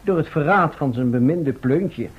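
A man's voice: on the high side, expressive, a little slow, husky, dull audio. A man playing an unruffled, matter-of-fact visitor from the future in an old radio drama. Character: matter-of-fact male time traveller from the far future.

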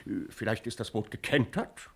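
A man's voice: mid-range voice, moderate pace, slightly rough, lightly acted. An actor playing a pedantic, curious male professor in a German radio crime drama, musing as he talks. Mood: impressed.

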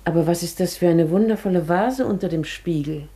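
A woman's voice: fairly low pitch, brisk, dark tone, natural voice. An actress playing a polite, evasive, formerly wealthy lady traveller in a German radio play. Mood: composed, wistful.

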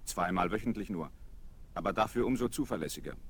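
An actor playing a self-assured, wry young aviator in a German children's radio play, breezy and dryly ironic. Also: male voice, deep voice, expressive, brisk, breathy edge, exaggerated voice. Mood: wry, unflappable. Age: young adult. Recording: noisy vinyl radio-play recording.

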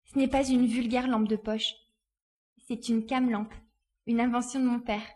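A woman playing a spirited, resourceful young girl in a dramatised audiobook, lively and assured in her delivery. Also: on the high side, a little slow. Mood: proud, explanatory. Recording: faint background noise.

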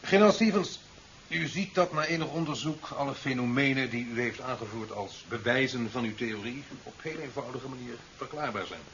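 Actor as a stern, formal presiding official. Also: male voice, mid-range voice, fairly bright tone, natural voice. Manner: formal, measured, reproving. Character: stern, authoritative male official presiding over a hearing. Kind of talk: 1970s radio drama acting. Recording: dull, hissy old radio recording.

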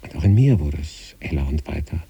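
Male narrator for literary prose, very dark and low timbre, measured, contemplative read. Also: expressive, creaky, husky. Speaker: narrator reading a literary story. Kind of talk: scripted literary narration in the first person, as letters.

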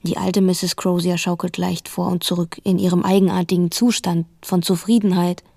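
A woman's voice: fairly low pitch, flat delivery, moderate pace, vocal fry, mellow. A woman playing a watchful, sceptical young narrator in a radio drama, observant and restrained in her telling.

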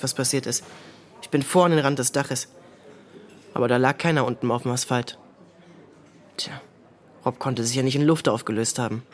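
A man's voice: mid-range voice, lightly acted. Sullen, curt teenage boy played by an actor. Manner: curt, dismissive, flippant. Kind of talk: naturalistic German radio drama acting.

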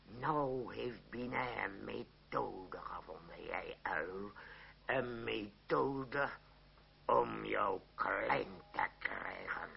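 A man's voice: lowish voice, drawn-out words, gravelly, slight background noise, heavily acted. An actor playing a blustering, scheming father and troupe director in a Dutch radio play, theatrical and hemming. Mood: gloating, scheming. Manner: theatrical, hesitant hemming, wheedling. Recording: dull old radio play recording.

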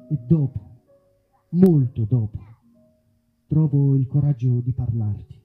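Man acting lovesick male wolf, theatrical, yearning, solemn, melancholy, fairy-tale stage monologue, faint music, muffled live recording. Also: sits high. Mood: melancholy resignation. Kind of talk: dramatic love-letter monologue.

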